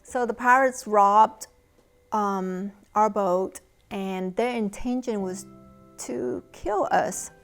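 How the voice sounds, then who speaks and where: A woman in her fifties with a Vietnamese accent, telling her own story in a measured, reflective way for a documentary interview. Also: medium pitch, soft background music. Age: 50s. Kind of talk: personal testimony in a documentary interview.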